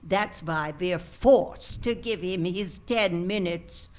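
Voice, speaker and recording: An actress playing a gracious but odd hotel manager in a comic radio play, formal and theatrically polite. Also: female voice, lowish voice, slow pace, a little mellow. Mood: breezy. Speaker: actor in live radio drama.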